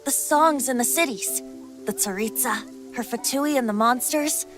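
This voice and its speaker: A woman voicing a youthful male wind god and bard in a video game, whimsical and gently wise, with a light boyish voice. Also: high voice, faint music behind. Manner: wistful, playful, measured storytelling.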